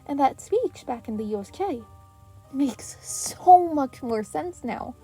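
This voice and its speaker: A woman's voice: high voice, animated, takes it slowly, dark tone, faint music behind, voice pushed up, full character voice, rough recording. A woman voicing a playful, teasing female hero teacher in an anime fan story reading. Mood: amused, teasing.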